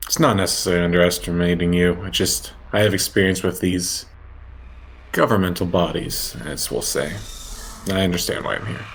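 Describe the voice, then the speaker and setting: A man's voice: sits low, fairly even intonation, normal speed, a little hiss or hum. A man speaking casually in character as a player in a tabletop roleplaying game.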